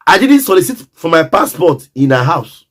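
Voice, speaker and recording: A man's voice: fairly high pitch, sing-song, moderate pace, fairly bright tone. A Nigerian man telling a personal story in a casual monologue, emphatic and repetitive, often speaking straight to the listener.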